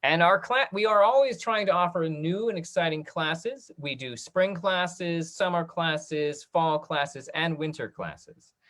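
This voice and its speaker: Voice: medium pitch, unhurried, hardly any pauses, fairly bright tone. An upbeat, encouraging host casually running a theater talkback over a video call.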